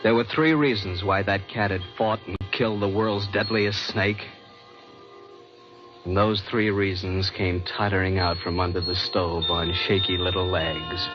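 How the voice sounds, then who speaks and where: Shaken survivor of a sea ordeal, low voice, dramatic deliberate storytelling, old radio play, loud music behind. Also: male voice, steady tone, highs cut off. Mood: relieved, humbled. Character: shaken ship's passenger narrating a deadly snake fight. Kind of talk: 1940s radio drama narration.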